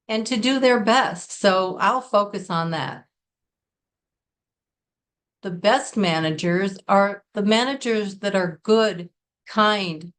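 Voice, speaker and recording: Earnest American woman teaching business English. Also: medium pitch. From Connecticut, United States. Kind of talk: scripted lesson to camera.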